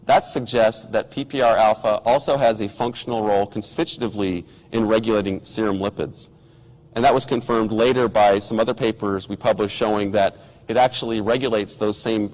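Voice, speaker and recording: A middle-aged man, hoarse from a cold, giving a measured technical science lecture with occasional ums and restarts. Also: lowish voice. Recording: lecture hall with long reverb.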